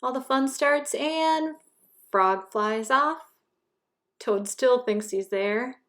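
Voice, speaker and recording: A woman narrates a children's storybook with emphatic expression. Her voice is fairly high, and she takes her time over the words. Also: slow, a bit bright. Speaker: storybook reader. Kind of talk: children's read-aloud narration.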